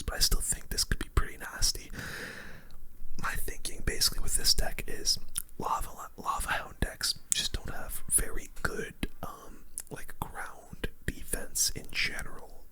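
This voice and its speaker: A game streamer whispering casual, slangy ASMR commentary in a breathy, unvoiced voice close to the microphone. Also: pushed and bright. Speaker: gaming video host.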